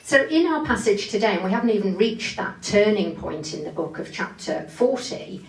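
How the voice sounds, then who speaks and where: A woman's voice: medium pitch, big pitch swings, moderate pace. A woman giving an expository Bible sermon, explaining carefully and leaning on key phrases. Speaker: preacher giving a Bible talk. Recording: echoey room, distant microphone.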